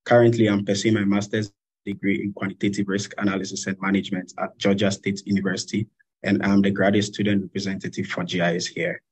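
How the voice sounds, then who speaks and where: A man from Ghana, a graduate student, introducing himself in a plain, steady way on a video call. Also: fairly low pitch, fast, husky. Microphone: webcam video call.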